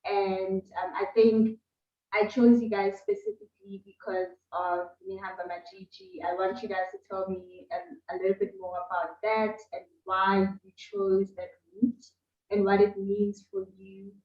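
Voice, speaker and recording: A woman's voice: medium pitch, flat delivery, a little slow. Chatty woman interviewer; echoey room, off-mic, muffled. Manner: bubbly, mixes in Zulu words. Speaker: host. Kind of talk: casual video-call interview. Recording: roomy, distant, dull lo-fi audio.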